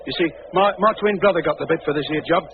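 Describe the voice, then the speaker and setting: An actor with a working-class British accent playing a humble, defensive sideshow impostor in 1940s radio drama style, with colloquial speech. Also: male voice, fairly high pitch, fast, creaky. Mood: sheepish. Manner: colloquial, protesting. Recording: noisy, tinny old radio recording.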